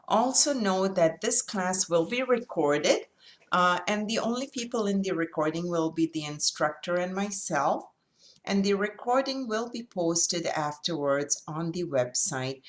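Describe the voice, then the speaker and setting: A woman moderating a video call class, making measured announcements and introducing the instructor. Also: on the low side, fairly bright tone. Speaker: event moderator.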